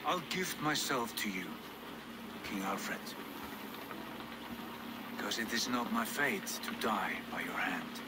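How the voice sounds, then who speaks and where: Defiant Viking chief, swooping intonation, sly courtesy, game cutscene, clear background noise. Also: male voice, lowish voice, lively intonation, slow, long pauses. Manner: taunting, grandly courteous. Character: proud, defiant Viking leader, sly and bold. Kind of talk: video game cutscene acting.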